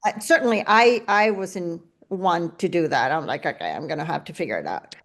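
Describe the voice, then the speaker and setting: A woman's voice: lowish voice, lively intonation, moderate pace. A middle-aged woman from Venezuela with a Venezuelan Spanish accent, hosting a podcast interview and reflecting in long, winding sentences. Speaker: podcast host. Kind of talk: casual interview conversation.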